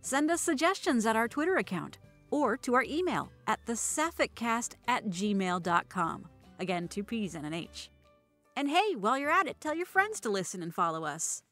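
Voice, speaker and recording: A woman narrating scripted audio fiction and podcast credits with an expressive, wry storytelling manner. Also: on the high side, moderate pace. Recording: dry recording with music behind. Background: music.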